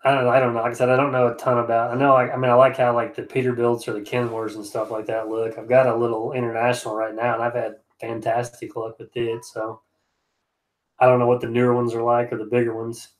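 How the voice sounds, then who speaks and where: A man's voice: medium pitch, monotone, fast, poor audio. A man with a stuffed-up nose chatting casually on a home livestream, reading comments with easy, rambling restarts. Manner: easygoing, rambling, frequent restarts. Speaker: excavation contractor streaming live.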